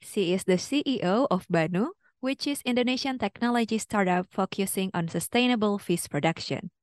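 A woman's voice: mid-range voice, moderate pace. A woman co-hosting a podcast, reading a scripted intro and then asking the guest questions casually, with many "like"s. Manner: casual, lots of "like" when asking. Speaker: podcast co-host.

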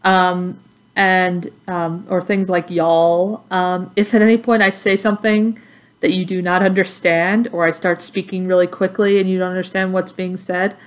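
A woman from Texas with a Texan accent, an instructor giving a recorded welcome introduction in a friendly, measured way with many ums. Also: on the low side, little pitch movement.

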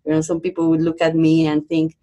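Low-voiced Peruvian-born woman educator. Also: monotone, dark tone. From Peru. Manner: thoughtful, halting, many fillers and restarts. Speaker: university educator, guest. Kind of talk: podcast interview conversation.

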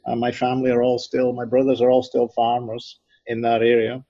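A middle-aged man with a Scottish accent gives considered answers in an interview, often restarting his phrases as he thinks. His voice sits at an ordinary pitch. The sound is close and dry, with a slightly dull, muffled quality. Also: monotone, a little fast, dark tone, no room sound. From Scotland. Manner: thoughtful, frequent restarts and repeated words. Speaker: institute director, guest.

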